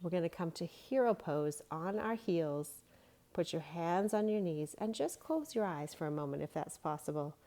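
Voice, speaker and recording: Lower-pitched woman guiding children's yoga. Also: lively intonation, moderate pace, mellow. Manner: simple, guiding instructions for children. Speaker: children's yoga teacher and storyteller. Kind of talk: storybook read-aloud with gentle yoga instruction.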